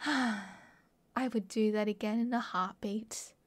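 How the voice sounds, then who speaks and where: A young woman with an Australian accent playing an excitable, mischievous college friend in a casual audio roleplay. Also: mid-range voice, slow. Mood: amused, nostalgic. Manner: playful, giggly, slangy. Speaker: roleplay voice performer. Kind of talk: ASMR roleplay acting, casual chat to a friend.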